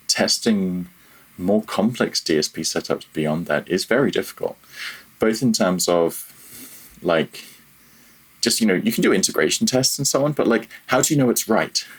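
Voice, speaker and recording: A man's voice: low voice, husky. A man talking casually as a technical interview guest, feeling his way through his thoughts and rephrasing as he goes. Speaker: podcast guest, audio programmer.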